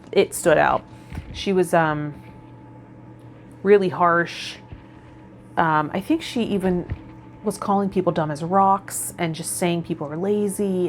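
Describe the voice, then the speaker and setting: Female spiritual vlogger, slightly low register, informal and reflective direct address, faint background noise. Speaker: tarot vlogger and host. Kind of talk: casual spiritual vlog talk, partly reading card meanings.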